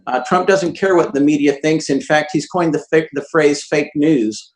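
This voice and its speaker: A man running for office, answering forcefully in a political debate after a few hesitant starts. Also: on the high side, normal speed. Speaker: political candidate.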